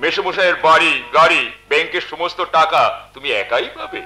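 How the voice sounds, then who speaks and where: A man's voice: a little high, sharp tone, roomy sound, slight background noise, rough recording. A man playing a confident, scheming villain in folk opera, theatrical and peppering his speech with English words. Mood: sly, assured. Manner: sprinkles English words, drawn-out theatrical delivery. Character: smooth-talking scheming villain, confident and menacing. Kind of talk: Bengali folk opera stage acting.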